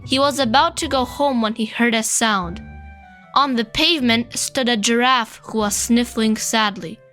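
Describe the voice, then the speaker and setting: A woman reading a children's picture book aloud, slow, clear and expressive. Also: fairly high pitch. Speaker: storybook reader. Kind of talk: read-aloud narration for children. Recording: faint background music.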